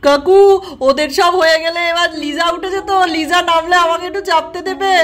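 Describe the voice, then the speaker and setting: A hesitant, polite village child from a children's doll story performed by a woman. The voice is very high-pitched. Music plays clearly behind it. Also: steady tone, brisk, fairly bright tone. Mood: hesitant, hopeful. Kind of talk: amateur doll-story voice acting.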